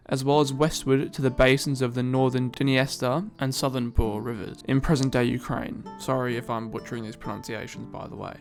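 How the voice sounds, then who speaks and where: A man's voice: medium pitch, creaky. A man narrating a history video in an earnest, explanatory way, with a noticeable accent and humble asides. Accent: non-native accent. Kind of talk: scripted documentary narration.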